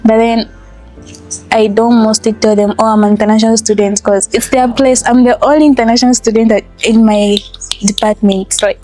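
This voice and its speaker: Young Zambian woman, fairly high voice. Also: little pitch movement, fairly quick, dark tone, faint music behind. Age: young adult. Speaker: international student interviewee. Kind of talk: casual interview answers.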